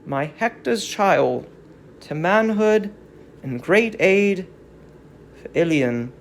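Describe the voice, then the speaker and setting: A performer plays an old queen of Greek tragedy, brought low and resigned. The delivery is stately and very measured, with a gravelly creak. There is faint noise in the recording. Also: lively intonation. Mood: grave, counselling. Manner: slow, stately, declamatory. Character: aged fallen queen Hecuba, sorrowful, resigned, dignified. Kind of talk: dramatic reading of classical Greek tragedy.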